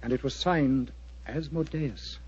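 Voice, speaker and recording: Grave, husky-voiced clergyman. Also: mid-range voice, darkish tone. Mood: grave, intense. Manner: grave, halting, formal. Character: agitated, earnest English clergyman, grave and intense. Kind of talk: 1940s radio drama acting. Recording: muffled old radio recording, dry sound.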